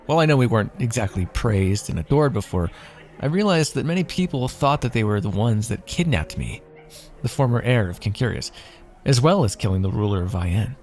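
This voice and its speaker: A man's voice: mid-range voice, lively intonation, fairly quick, darkish tone, soft background music, lightly acted. A man playing a wry, teasing royal heir and former mercenary in an ASMR fantasy roleplay, with formal, theatrical phrasing. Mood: reflective, guarded.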